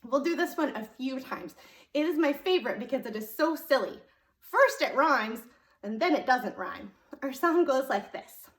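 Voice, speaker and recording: High, animated woman leading preschool storytime. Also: moderate pace. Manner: sing-song child-directed delivery with frequent questions. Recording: roomy echo.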